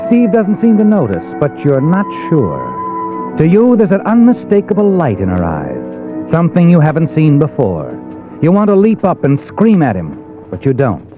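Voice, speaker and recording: Swooping, insinuating 1950s radio suspense narrator. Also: male voice, fairly high pitch. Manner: insinuating, theatrical. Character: ominous, knowing male narrator addressing the hero as you. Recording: tinny old radio recording. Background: dramatic music.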